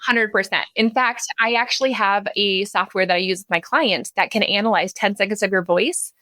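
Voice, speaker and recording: A woman's voice: mid-range voice, fairly quick, bright, forceful tone, no echo. A woman speaking as a podcast guest and coach, explaining things in an emphatic, conversational way and checking that listeners follow. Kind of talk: animated explanatory talk.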